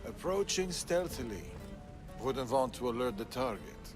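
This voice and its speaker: A man's voice: mid-range voice, animated, normal speed, over music, natural voice. An actor playing a guarded, grave warrior father and former assassin in a dramatic video game cutscene. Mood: dry, guarded.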